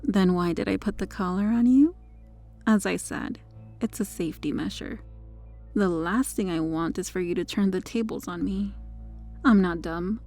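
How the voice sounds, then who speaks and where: A woman playing a possessive superheroine with a hidden dark obsession in an ASMR roleplay, her manner controlled and teasing. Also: medium pitch, moderate pace, soft background music, natural voice. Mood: smug, possessive, teasing. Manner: smug, flirtatious, controlled.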